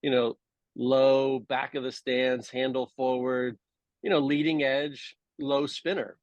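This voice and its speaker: The former pro athlete guesting on a sports podcast, a man with a medium voice unspooling his career haltingly into a dry, close recording. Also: medium pitch, level tone, no room sound, muffled recording. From Hawaii, United States. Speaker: podcast guest, former tour golfer and coach. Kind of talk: casual interview chat.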